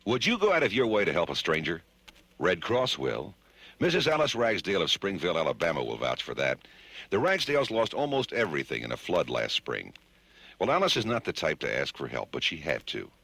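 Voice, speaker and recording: Deep, husky, animated man. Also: fairly quick, no room sound. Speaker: charity appeal announcer. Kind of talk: scripted radio appeal.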